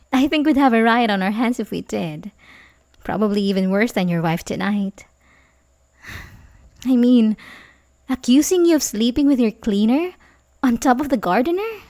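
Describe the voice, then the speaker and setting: A woman's voice: on the high side, animated, unhurried, long pauses, mellow. A woman playing an obsessive, manipulative young babysitter who feigns sympathy, in an intimate, hesitant ASMR roleplay style. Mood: coaxing, eager. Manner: hesitant, stammering, nervous chuckles, sighs. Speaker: audio roleplay performer.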